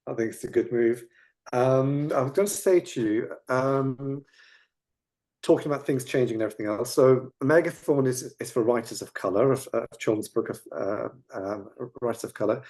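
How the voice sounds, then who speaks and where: A man with a mid-pitched, mellow voice hosts a conversational interview. He asks his questions tentatively, feeling his way through them and adding further parts as he goes. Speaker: webinar host, interviewer.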